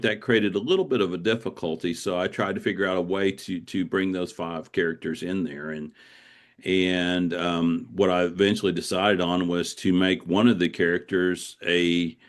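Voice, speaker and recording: Middle-aged male author as interview guest, low voice, conversational and reflective, with a rambling explanatory style. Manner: discursive, circling back as he explains.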